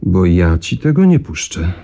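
A deep-voiced coaxing editor. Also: male voice, sing-song, a little slow, vocal fry, dark tone, full character voice. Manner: jovial, coaxing, brushing off objections. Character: smooth, persuasive male editor. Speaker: newsroom editor. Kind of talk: radio drama acting.

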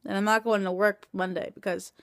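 A woman of twenty talking into a phone camera at home at night, tired and in pain after surgery, rambling with many halting restarts. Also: mid-range voice, flat delivery, fairly bright tone, no room sound, studio quality. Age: 20s. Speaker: vlogger. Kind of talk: casual video diary. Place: small room indoors at night. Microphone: phone camera held close.

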